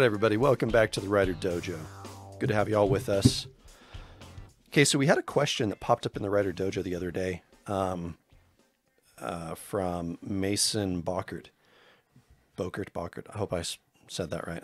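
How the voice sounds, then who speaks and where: A man's voice: sits low, fairly quick, faint music behind. A man co-hosting a podcast, talking in a loose, rambling way and joking at his own expense. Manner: loose and rambling, with self-deprecating jokes. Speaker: podcast co-host. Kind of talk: casual podcast chat.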